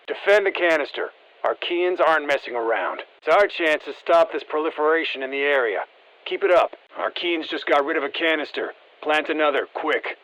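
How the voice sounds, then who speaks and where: A man voicing a seasoned special-forces operator in a video game, briefing the squad in a terse, steady, encouraging way. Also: fairly high pitch, poor audio. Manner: terse, matter-of-fact military delivery. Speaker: military operator giving mission guidance. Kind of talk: scripted video game voice lines.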